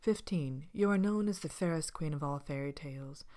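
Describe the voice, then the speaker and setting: A wicked, vain fairy-tale queen played by a woman speaks in a low, hushed voice that often slips into a whisper. She is haughty and languid, with dry wickedness, hosting an ASMR question-and-answer monologue. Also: moderate pace, lightly acted. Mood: wickedly chatty. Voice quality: soft-spoken, often breaking into whisper. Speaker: character host of an ASMR show.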